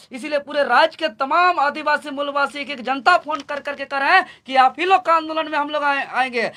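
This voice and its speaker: Young guy w jharkhand accent, student activist giving a fiery political statement, really high sharp voice, repeats stuff to drive it home. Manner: emphatic, repetitive, rallying. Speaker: student movement leader. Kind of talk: impassioned political statement to media.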